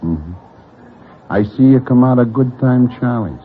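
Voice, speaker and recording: A man playing a laconic, oddly polite Broadway gunman in a radio drama, with a husky voice. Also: mid-range voice, expressive, a little slow, a little hiss or hum, close to an everyday voice. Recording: narrow lo-fi radio sound.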